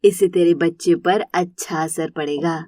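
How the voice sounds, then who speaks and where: Woman playing doting older mother, lower-pitched. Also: moderate pace, warm tone, no echo, studio quality, lightly acted. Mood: fond, wistful. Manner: affectionate, motherly. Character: doting older mother and mother-in-law, tender, homely. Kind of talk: animated moral story dialogue.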